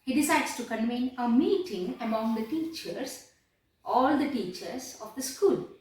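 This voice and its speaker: Female teacher, lively swooping intonation, explanatory storytelling, recorded distant in a very echoey room. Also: fairly high pitch. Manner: deliberate, repeats phrases for emphasis. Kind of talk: lesson retelling a play.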